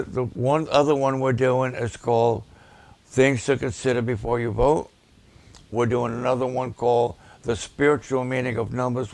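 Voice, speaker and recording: Male pastor, raspy and gravelly, unhurried, deliberate preaching delivery. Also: mid-range voice, a little mellow. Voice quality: raspy at times. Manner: slow, deliberate, with emphatic repetitions. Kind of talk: preaching and promoting ministry videos to a livestream audience.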